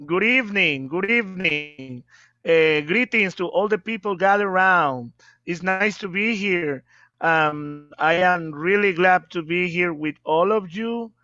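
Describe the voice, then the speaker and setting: A man with a high voice greets a group as a guest. He speaks slowly and politely over slightly dull call audio. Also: pushed and bright, no echo. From Ecuador. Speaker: meeting guest. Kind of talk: polite self-introduction. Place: video call.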